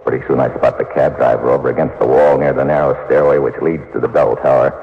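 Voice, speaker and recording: A man's voice: extremely low pitch, little pitch movement, fast talker, gravelly, husky, heavily acted. An American man playing a tough, hard-boiled agent in a classic radio drama, terse, slangy and wry as he narrates his case. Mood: tense narration. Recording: muffled vintage radio recording.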